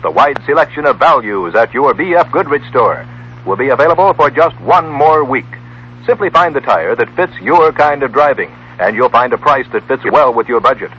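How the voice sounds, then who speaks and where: A man's voice: medium pitch, expressive, moderate pace, fairly bright tone, slightly rough. An American man reading a scripted commercial in 1960s radio announcer style. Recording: muffled vintage radio recording.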